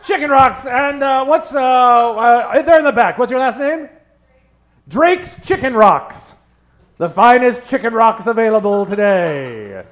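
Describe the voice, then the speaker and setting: A man's voice: very high voice, lively intonation, a little slow, fairly bright tone. A man hosting live improv comedy on a theater stage, talking like a theatrical, mock-formal 1940s radio showman. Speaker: stage MC warming up audience.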